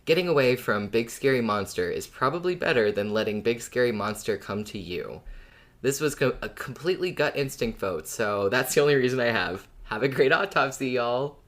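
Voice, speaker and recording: A podcast co-host talking casually and informally in a relaxed discussion. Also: mid-range voice, moderate pace.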